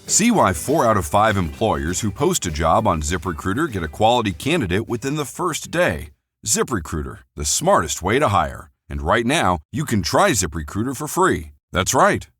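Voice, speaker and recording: A man with a slightly deep, raspy voice reads a scripted promotion. His pitch moves in a lively way, and the sound is dry and close. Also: no room sound. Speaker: advertising announcer.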